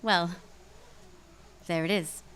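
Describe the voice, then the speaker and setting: A woman's voice: on the low side, fairly even intonation, slow pace, a bit bright, clear, resonant tone. An actress playing a mysterious, anxious married woman in trouble in a noir radio drama, terse and guarded. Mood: guarded urgency.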